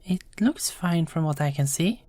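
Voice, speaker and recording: A woman playing a polite, reassuring nurse in an audio roleplay, speaking gently and a little hesitantly. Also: low-pitched, animated, moderate pace, dry recording. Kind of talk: soft-spoken audio roleplay acting.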